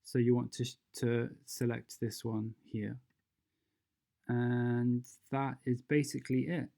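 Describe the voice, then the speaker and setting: A presenter narrating a casual step-by-step software tutorial, informal and instructional, thinking aloud along the way. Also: mid-range voice, level tone, takes it slowly, creaky.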